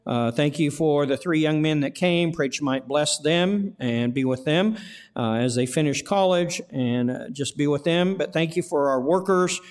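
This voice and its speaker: A man's voice: a little high, moderate pace. A man pastoring a church, giving announcements and praying, folksy, repeating words with many fillers. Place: church sanctuary.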